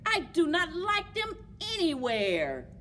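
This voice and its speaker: A woman's voice: very high-pitched, wildly swooping pitch, slow, a bit bright, slight background noise, voice pushed up, full character voice. A woman voicing a stubborn, grumpy storybook creature with emphatic, exasperated refusals in rhyme. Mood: grumpy refusing. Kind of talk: rhyming picture-book read-aloud. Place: public library children's book corner.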